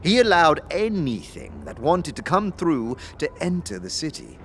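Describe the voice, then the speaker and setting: A man voicing a mischievous, worldly fixer in an audio drama, telling old lore in a wry, measured way. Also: fairly high pitch, very animated, a little hiss or hum, voice pushed up. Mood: knowing, wry. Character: Sebastian, mischievous worldly male fixer, knowing lore-teller.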